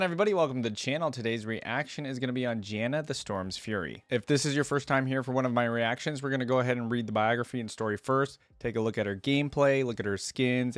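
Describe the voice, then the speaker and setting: A man's voice: medium pitch, no room sound. A man hosting a reaction video, moving between casual, curious commentary and reading lore aloud in a conversational way.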